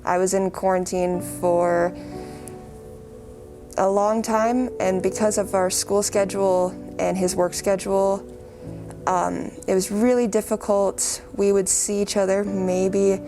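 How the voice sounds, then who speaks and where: A young woman from Colorado, a film student, answering interview questions candidly. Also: mid-range voice. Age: young adult. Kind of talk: documentary interview. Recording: music bed under the voice.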